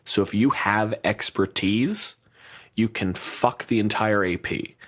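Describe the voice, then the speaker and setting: A man's voice: lowish voice, slow, a bit bright. A man co-hosting a podcast, talking in blunt, profane, argumentative casual chat. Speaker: podcast co-host.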